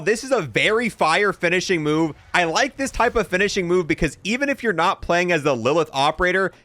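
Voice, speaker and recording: Animated young man hosting gaming commentary. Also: on the high side. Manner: informal, matter-of-fact. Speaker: gaming video host. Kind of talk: casual gameplay showcase commentary.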